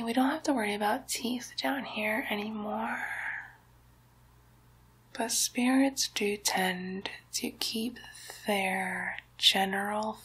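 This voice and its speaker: A woman voicing a playful, sly female demon doctor in an ASMR medical roleplay, whispering softly in a slow, teasing, lightly menacing way. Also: mid-range voice, heavily acted, low-quality recording. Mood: coy, chatty. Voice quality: soft breathy whisper.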